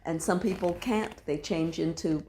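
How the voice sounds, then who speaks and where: A woman guest in a casual chat, speaking in a measured, thoughtful way as she gives advice. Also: lowish voice, dark tone, a little hiss or hum.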